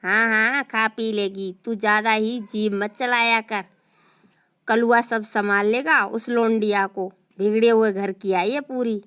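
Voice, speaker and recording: A woman voicing a gruff, bossy elderly husband who scolds his family in a comic sketch. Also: on the high side, steady tone, a little slow, fairly bright tone, no echo, pitched below the performer's own voice. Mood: scolding. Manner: scolding, commanding.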